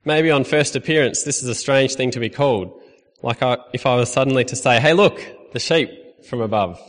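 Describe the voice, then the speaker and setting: A young Australian man preaching to a congregation in a relaxed, friendly way, with a few gentle jokes. His voice sits at a mid pitch. It rings out with a long reverb from a church hall. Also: fairly quick. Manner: friendly, conversational preaching with light humor. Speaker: lay preacher. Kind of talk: sermon.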